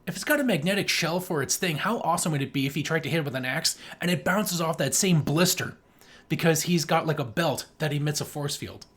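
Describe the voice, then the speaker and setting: A man with a slightly high voice chats casually as a podcast co-host. He picks things apart with sarcasm, in loose conversational movie-review talk. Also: fairly quick, fairly bright tone, husky.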